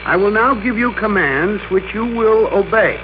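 An actor playing a bossy, excitable office worker in an old-time radio drama, with a domineering, emphatic, theatrical delivery. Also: male voice, high-pitched, moderate pace, natural voice. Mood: bullying. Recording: noisy, dull old radio recording.

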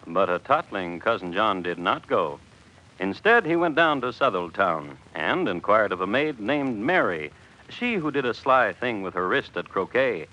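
A man's voice: on the low side, big pitch swings, moderate pace, pushed and bright, heavily acted. A man playing a wry, genteel storyteller, narrating an old radio drama in droll, literary phrasing. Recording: dull vintage radio recording.

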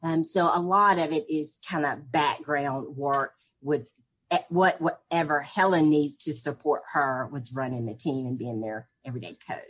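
A low-pitched woman talks through her game-day work. She sounds chatty and upbeat, with lots of easygoing fillers, like a spirit coordinator in a casual interview. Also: normal speed, a bit bright.

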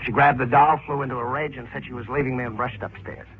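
A thin, slightly noisy old radio recording of an actor as an earnest, suspicious man in a crime drama. His pitch is medium. He delivers his lines in a clipped, emphatic style. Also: steady tone, fast talker, dull audio, lightly acted. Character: earnest, suspicious husband wrongly accused of murder. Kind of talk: 1940s-50s radio drama acting.

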